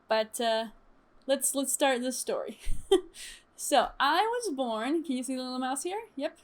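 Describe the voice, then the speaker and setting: A young woman from Chile chatting casually to her stream viewers, lively and laughing often, with many fillers. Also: high voice, unhurried. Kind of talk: casual storytime chat.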